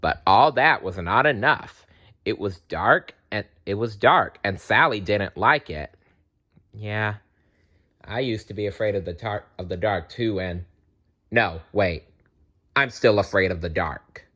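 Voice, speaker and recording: A man narrating a children's story aloud in an expressive storytelling style. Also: lowish voice, a little slow.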